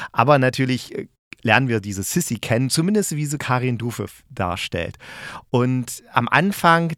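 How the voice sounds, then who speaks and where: Male review podcast host, average pitch, enthusiastic and conversational, emphatic delivery, very dry recording. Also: no echo. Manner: colloquial, emphatic, opinionated. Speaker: podcast host and radio drama critic.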